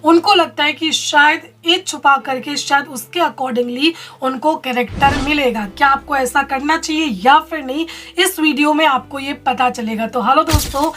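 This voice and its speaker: A woman with a high-pitched voice speaks as the host of an advice vlog. She addresses listeners directly and explains her points in a practical, example-led way. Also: moderate pace, pushed and bright. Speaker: casting director and video host. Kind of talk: advice vlog talking to camera.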